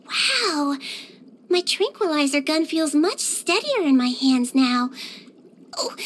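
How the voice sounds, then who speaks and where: A woman voicing a small, cute non-human girl nurse from a video game, speaking in a sweet, gentle, childlike and earnest way. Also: very high voice, slight background noise, exaggerated voice. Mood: warm, grateful. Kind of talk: scripted video game character voice lines.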